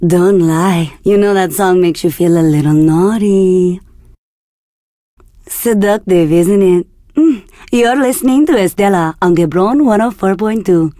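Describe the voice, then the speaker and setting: A woman's voice: lowish voice, measured pace, mellow, no room sound, studio quality, natural voice. An actress playing a flirty, confident woman radio DJ in a video game, with a seductive, teasing delivery. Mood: sultry, teasing. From Argentina. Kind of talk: scripted game radio DJ patter.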